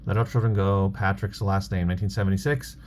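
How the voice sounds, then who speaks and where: A man's voice: sits low, fairly even intonation, fast talker, a little mellow. A man chatting casually in a vlog about his own work, offhand and thinking aloud as he goes. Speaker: online reseller and bookstore owner, vlog host. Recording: clear background noise.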